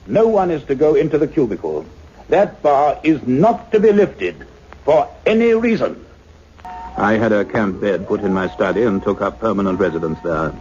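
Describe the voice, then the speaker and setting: A man's voice: mid-range voice, darkish tone, a little hiss or hum, lightly acted. An actor playing an earnest male scientist, speaking in a precise, formal radio-play manner. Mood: serious, resolute. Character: earnest male scientist doctor narrating his investigation. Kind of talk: radio play acting and narration. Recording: dull, lo-fi radio drama recording.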